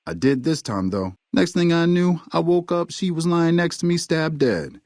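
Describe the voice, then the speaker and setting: An actor playing a nervous, foul-mouthed smuggler and hustler in a video game, cocky but jittery. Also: male voice, fairly high pitch, big pitch swings, moderate pace, a little mellow, dry recording, studio quality. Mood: uneasy, recounting. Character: fast-talking, foul-mouthed male hustler, nervous smuggler under blackmail. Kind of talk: video game dialogue acting.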